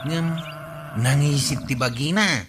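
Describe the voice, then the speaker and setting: A man's voice: on the high side, a little slow, noisy background, close to an everyday voice. A man playing a simple, admiring villager who speaks in short phrases in a radio comedy drama. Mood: admiring, amused.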